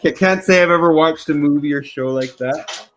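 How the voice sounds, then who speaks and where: A young man who grew up in Mexico, hosting a casual livestream chat in a rambling, easily excited way over a video call. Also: fairly high pitch, moderate pace. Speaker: livestream host. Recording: loud background music under voice.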